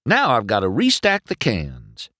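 A woman's voice: rumbling low, wildly swooping pitch, measured pace, a bit bright, no echo, studio quality, voice pushed up, exaggerated voice. A man voicing an indignant female car from a small town, scolding and aggrieved, in an audiobook. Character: indignant female townsfolk cars, one elderly, scolding.

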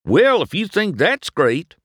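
A man voicing a cheerful, slow-witted old tow truck in an audiobook, with a rural Southern American accent and a folksy, eager, singsong manner. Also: a little high, wildly swooping pitch, bright, forceful tone, no room sound, studio quality, voice pushed up, full character voice. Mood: proud, excited. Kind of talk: audiobook character voice.

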